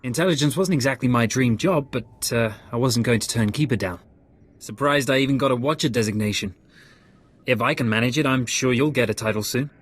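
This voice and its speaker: A man's voice: medium pitch, fairly quick, slightly rough, close to an everyday voice. A man playing an awkward, modest surveillance analyst in a sci-fi game, hesitant and apologetic with small stammers. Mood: nervous, modest. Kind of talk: scripted video game dialogue.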